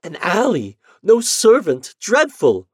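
A man voicing an anxious, jealous young wife with a high, breathless, emotional delivery. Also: big pitch swings, normal speed, darkish tone, breathy edge, no room sound, studio quality. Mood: agitated.

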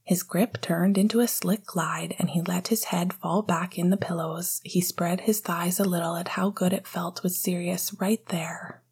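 A woman narrating a story in a soft, intimate whisper. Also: lowish voice, steady tone, unhurried, few pauses, husky. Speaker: audiobook narrator.

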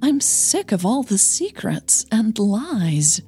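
A woman's voice: fairly high pitch, sing-song, takes it slowly, mellow, breathy edge, soft background music, voice pushed up, heavily acted. A woman playing an upset, angry young woman who blurts and demands answers in an audio drama. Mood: fed up. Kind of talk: audio fiction dialogue.

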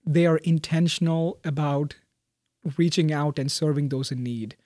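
A young man, a graduating student, answering interview questions in a thoughtful, soft-spoken way with frequent fillers and restarts. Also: on the high side, steady tone, moderate pace, dry recording, studio quality. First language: Malayalam. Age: young adult.

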